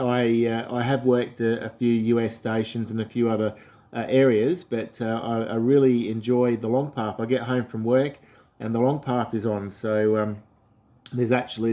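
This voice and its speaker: A man's voice: medium pitch, mellow. An Australian man from South Australia, an amateur radio operator chatting easily through a ham radio contact, with many fillers.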